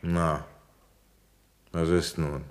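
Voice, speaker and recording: An actor in a German radio play playing a sober police inspector, questioning tersely and insistently. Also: male voice, very deep voice, level tone, very slow, smooth, heavily acted. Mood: probing, stern.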